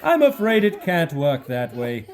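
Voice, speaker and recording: A man's voice: on the high side, wildly swooping pitch, unhurried. A man playing a scheming, ruthless claimant to a throne in an audio Bible drama, with feigned courtesy. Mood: coolly menacing.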